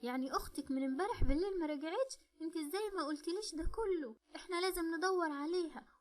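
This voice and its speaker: A woman's voice: very high-pitched, very fast, pitched above the performer's own voice. A woman voicing a worried, scolding mother with an Egyptian accent. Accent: Egyptian Arabic. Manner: scolding, anxious.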